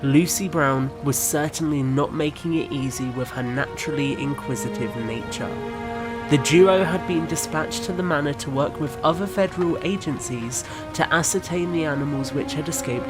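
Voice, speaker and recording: Higher-pitched male narrator over music. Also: moderate pace. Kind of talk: scripted audio drama narration. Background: background music.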